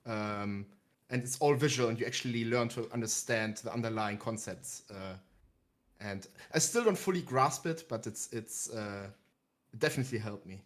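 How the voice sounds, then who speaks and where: A man's voice: lowish voice, normal speed. A man with a German accent, a guest on a casual game-development stream, speaking with enthusiasm and frequent fillers. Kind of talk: casual chat.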